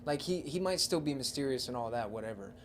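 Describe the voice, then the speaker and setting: A man's voice: mid-range voice, lively intonation, fast talker, a little hiss or hum. A man in a casual podcast discussion, reasoning through his counterpoints step by step. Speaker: podcast co-host. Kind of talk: casual discussion about movies.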